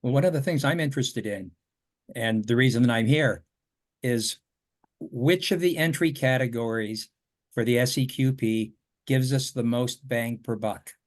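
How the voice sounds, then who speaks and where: A man with an average-pitched voice takes part in an informal online meeting. He puts questions in a plain-spoken, deliberate manner. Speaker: meeting participant asking questions. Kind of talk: casual question and discussion. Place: remote teleconference call.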